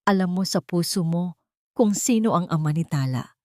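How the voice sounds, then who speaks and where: A woman's voice: on the low side, expressive, normal speed, darkish tone, no echo, studio quality, close to an everyday voice. A woman voicing an anguished, tearful young mother who pleads her innocence in an audio melodrama. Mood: pleading.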